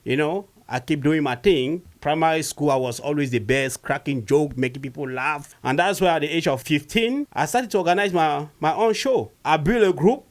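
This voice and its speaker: Man, from Cameroon, comedian guest, lively storytelling, pitch climbs when excited. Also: normal speed, hardly any pauses. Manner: animated, rising pitch when retelling stories. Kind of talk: casual storytelling interview.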